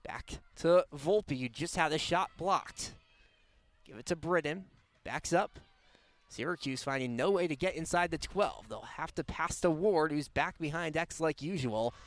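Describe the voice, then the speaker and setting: Higher-pitched young male play-by-play announcer. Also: animated, a little slow, no echo. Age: young adult. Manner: clipped, descriptive call of the action. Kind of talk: live radio sports play-by-play commentary.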